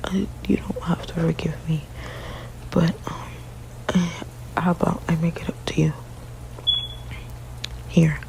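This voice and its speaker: A woman whispering softly and haltingly in an ASMR partner roleplay, often restarting her sentences. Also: low-pitched, fairly even intonation, gravelly, rough recording.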